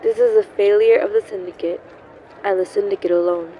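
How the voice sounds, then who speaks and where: A woman's voice: medium pitch, steady tone, lightly acted. A woman acting as a cool official at a press conference in a radio play. Her speech is formal and firm, in a dull, slightly noisy lo-fi recording. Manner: formal, measured. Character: cool, stern female government spokesperson. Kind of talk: press conference statement in audio drama.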